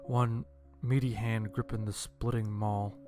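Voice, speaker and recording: A man's voice: fairly low pitch, little pitch movement, measured pace, a little mellow, faint music behind. A man narrating a scripted first-person horror-comedy story in a dry, wry manner.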